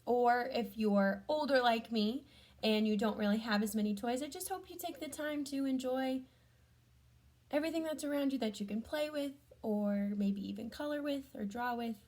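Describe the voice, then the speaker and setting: A woman reading a storybook aloud to children, adding gentle chatty comments and questions for young listeners. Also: a little high, moderate pace. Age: adult.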